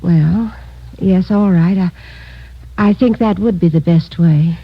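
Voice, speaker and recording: An actress playing a gentle, hesitant grieving widow in a 1950s radio drama. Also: female voice, fairly low pitch, takes it slowly, dull audio, a little hiss or hum.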